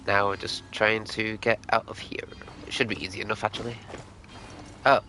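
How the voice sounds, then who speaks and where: A man's voice: lowish voice, little pitch movement, moderate pace, pushed and bright, slight background noise. A man livestreaming a video game, giving commentary and reading game dialogue aloud over game music.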